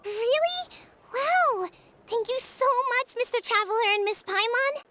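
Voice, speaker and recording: A woman's voice: very high voice, expressive, measured pace, background noise. A woman voicing an earnest, polite little child in a video game, with a childlike voice. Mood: delighted, grateful. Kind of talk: video game character acting. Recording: music behind the voice.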